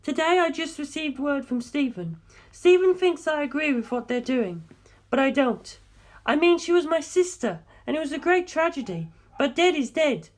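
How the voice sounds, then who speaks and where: A woman's voice: sits high, animated, normal speed. A woman voicing a talkative, assertive young woman with a teasing streak in a dramatic audiobook. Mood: grave, firm. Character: Darlington, sharp teasing young English woman. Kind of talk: dramatic audiobook character dialogue.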